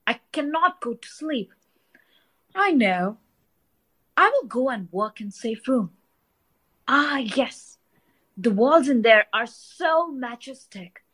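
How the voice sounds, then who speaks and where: Woman acting an obsessed woman in an audio drama, dramatic, highish and really up and down, slow. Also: fairly bright tone, full character voice. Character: obsessive woman absorbed in building her panic room.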